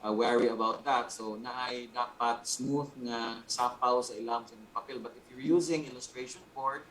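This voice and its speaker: Visayan Filipino man explaining, medium pitch. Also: steady tone, moderate pace, a bit bright, slight background noise, poor audio. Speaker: guest art teacher, painter. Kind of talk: informal instructional explanation, code-switching.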